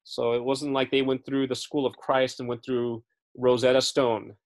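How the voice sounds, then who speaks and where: A man's voice: medium pitch, little pitch movement, normal speed. Chatty man leading a Bible study. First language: English. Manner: chatty, checks in with listeners often; frequent fillers and self-corrections. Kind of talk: casual Bible teaching.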